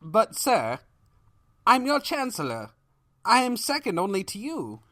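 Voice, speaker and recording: A loyal royal underling acted by a man, deferential and long-suffering. The speech is slow but swings widely in pitch, with servile politeness fit for a cartoon storybook henchman. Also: dry recording, studio quality, heavily acted. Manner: deferential, servile, deadpan asides. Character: loyal, long-suffering male pony chancellor to evil king.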